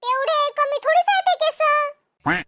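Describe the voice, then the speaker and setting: A woman's voice: extremely high pitch, takes it slowly, very noisy, full character voice. A woman voicing a nosy, wheedling village housewife in a cartoon comedy, with a Vidarbha Marathi accent. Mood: pleading, insistent. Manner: wheedling, badgering. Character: pleading, nosy village housewives haggling for pots.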